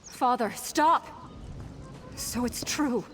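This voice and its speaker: A woman's voice: a little high, extremely slow, a little hiss or hum. A young woman voicing a wounded, defiant scout and dutiful daughter in a video game, speaking in an earnest, dramatic way. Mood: hurt, pleading. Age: young adult. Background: game music.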